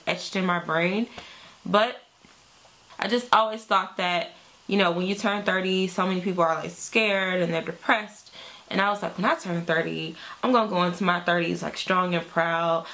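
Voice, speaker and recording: A woman's voice: lowish voice, a bit bright. A woman in her thirties chatting casually to camera on her channel, upbeat and full of "like" and "y'all". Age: 30s. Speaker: beauty vlogger.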